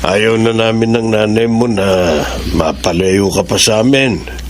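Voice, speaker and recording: A man's voice: lowish voice, measured pace, natural voice. An actor playing a caring, pleading older father in a Filipino radio drama. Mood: pleading, resigned. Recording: noisy ambient background.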